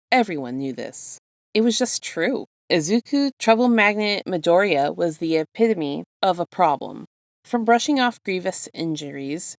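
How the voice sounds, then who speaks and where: A woman reading fan fiction aloud as an expressive storybook narrator, close to the microphone. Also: lowish voice, gravelly, dry recording.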